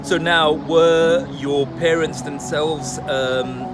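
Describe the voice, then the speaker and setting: A man's voice: fairly high pitch, steady tone, very slow. A man hosting a casual conversational interview, asking probing questions in an informal, chummy way. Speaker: interviewer and channel host. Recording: music playing behind the voice. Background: music.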